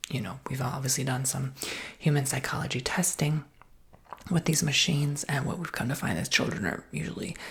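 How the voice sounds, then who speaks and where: A woman whispering in a breathy voice with a creaky undertone, giving casual, reassuring sales patter as an ASMR roleplay. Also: very deep voice.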